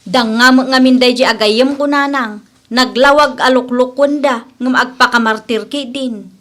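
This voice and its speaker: A woman's voice: sits high, steady tone, unhurried, a bit bright. A woman playing a blunt, exasperated young Filipina friend with an Ilocano accent in an audio drama, nagging and outspoken. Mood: indignant. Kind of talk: radio-style drama acting.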